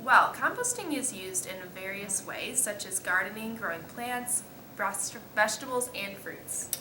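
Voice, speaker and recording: A female student giving halting, scripted explanations as an expert guest in a mock interview. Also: mid-range voice, expressive. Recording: echoey room with faint noise.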